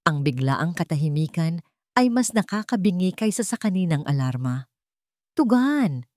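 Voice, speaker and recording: A woman reading a dramatic scripted story with a measured, suspenseful delivery. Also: low voice, lively intonation, darkish tone, no room sound, studio quality. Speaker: story narrator.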